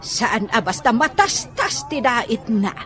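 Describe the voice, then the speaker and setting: A woman's voice: a little high, very animated, slow, fairly bright tone, soft background music, full character voice. A woman acting a chatty, bluntly frank auntie who sells goods, in an Ilocano radio comedy drama with an Ilocano accent. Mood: chatty, coaxing.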